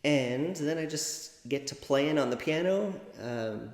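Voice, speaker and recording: A man's voice: fairly high pitch, animated, slow. A man speaking casually in an interview as a composer, describing how he works. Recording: echoey room with long reverb.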